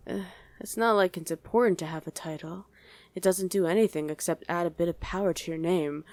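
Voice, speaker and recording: A woman's voice: lowish voice, a little mellow, no echo, close to an everyday voice. A woman voicing a condescending, sardonic female demon commander in a chatty ASMR roleplay. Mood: amused, curious. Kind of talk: ASMR roleplay acting addressed to the listener.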